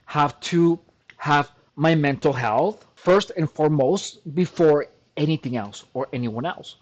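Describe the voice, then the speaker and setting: Emphatic man with a higher voice. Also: a little mellow. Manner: emphatic, repeats "look" and restarts often. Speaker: channel host. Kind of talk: casual talking-to-camera monologue.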